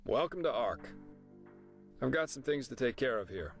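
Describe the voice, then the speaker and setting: An actor playing a chatty, wry, easygoing adventurer in a fantasy game, explaining things to a newcomer in a casual, jokey manner. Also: male voice, medium pitch, sing-song, moderate pace, faint music behind. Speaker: travelling companion and guide character. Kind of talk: scripted fantasy game dialogue.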